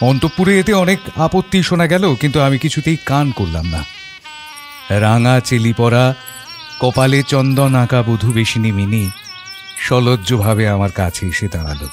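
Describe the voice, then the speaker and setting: Audio story lead: gentle Bengali gentleman and father played by a male actor, mid-pitched, heartfelt first-person narration. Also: moderate pace, faint music behind, natural voice. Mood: reflective, moved. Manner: reflective, heartfelt.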